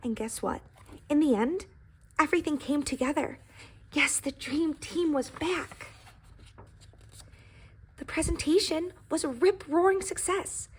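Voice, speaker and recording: A woman's voice: high voice, unhurried, pauses often, a little hiss or hum, pitched above the performer's own voice. A woman voicing a humble, anxious and earnest young pie who tells its own story in a children's storybook, with dramatic emphasis. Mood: reflective, upbeat. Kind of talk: expressive storybook reading.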